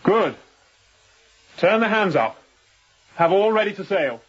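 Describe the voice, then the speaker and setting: An actor playing a young, newly appointed sea captain in a radio drama, clipped and guarded, with an uneasy edge. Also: male voice, sits high, expressive, slow pace, husky, full character voice. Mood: commanding. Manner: clipped, quiet-spoken, guarded. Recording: muffled sound, faint background noise.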